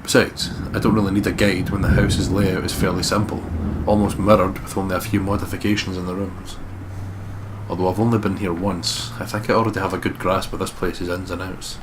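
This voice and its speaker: A man reading the part of a guarded, determined investigator narrating a horror visual novel in a measured, serious way. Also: deep voice, level tone, natural voice. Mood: brooding, serious. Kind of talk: dramatic scripted narration, visual novel read-aloud. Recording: clearly noisy home recording.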